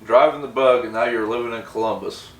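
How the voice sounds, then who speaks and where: Fast-talking American man, roomy sound. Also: mid-range voice. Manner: informal, folksy. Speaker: interviewer, channel host. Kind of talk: casual chat, interviewing a friend. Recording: roomy, distant mic with faint noise.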